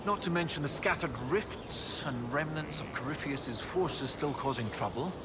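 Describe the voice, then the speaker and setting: A man as an excitable, animated, high-voiced scholar. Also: moderate pace, very noisy, natural voice. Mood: measured. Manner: scholarly, animated, precise wording. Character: excitable, bookish male scholar and historian, eager about discoveries. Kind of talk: video game dialogue acting.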